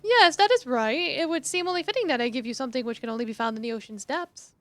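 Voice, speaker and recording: A woman's voice: high-pitched, fast, dry recording, close to an everyday voice. A woman voicing an elegant, sincere young mermaid in a fairytale game, with formal, stately diction. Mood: courteous.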